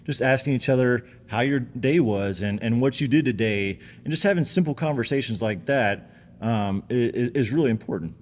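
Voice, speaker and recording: A man's voice: mid-range voice, normal speed. A man speaking casually as a father and guest in family talk. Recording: reverberant room with background noise.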